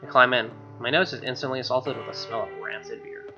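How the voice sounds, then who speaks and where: Bright-voiced American man reading aloud. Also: medium pitch, expressive, fast talker, highs cut off. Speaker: gaming video host. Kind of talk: reading a visual novel aloud with casual reactions. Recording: game music behind.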